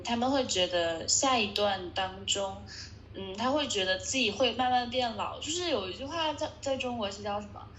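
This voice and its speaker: A woman's voice: mid-range voice, fairly bright tone, roomy sound, slight background noise, rough recording. A woman interpreting a lecture, hesitant and full of fillers. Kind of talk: consecutive interpreting.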